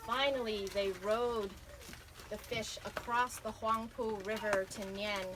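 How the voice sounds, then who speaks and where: Female storyteller for kids' picture book, unhurried and dramatic, higher register, noisy live event. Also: steady tone. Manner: dramatic, stretching out key words. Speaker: author reading aloud to children. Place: bookstore reading event. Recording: noisy live reading with audience sounds.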